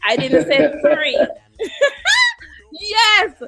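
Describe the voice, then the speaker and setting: Jamaican female host, bright high register, animated and quick to laugh. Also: gravelly, over a noisy crowd. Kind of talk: casual live-stream interview.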